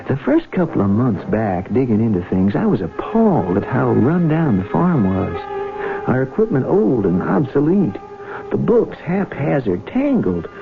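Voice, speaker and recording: A man's voice: medium pitch, creaky. A man acting an earnest, haunted young farm heir in a 1970s radio drama. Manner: earnest, reflective. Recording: thin phone-like old radio broadcast, music.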